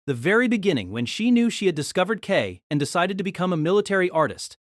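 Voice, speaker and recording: Expressive novel narrator, lively pitch. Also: fast, no echo, studio quality.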